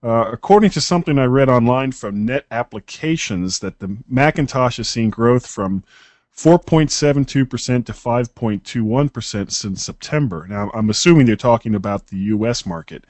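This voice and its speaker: A man's voice: medium pitch, lively intonation, moderate pace, darkish tone, no echo. A podcast co-host talks in an easygoing, joking way, often hesitating and restarting his sentences. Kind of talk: casual tech podcast chat.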